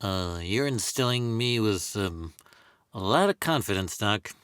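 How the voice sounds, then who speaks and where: A man voicing an awkward, laid-back teenage boy in a comic table read, halting and stammering nervously. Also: on the low side, animated, fairly bright tone, clear, resonant tone, no room sound. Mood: doubtful. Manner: halting, stammering, full of hesitations.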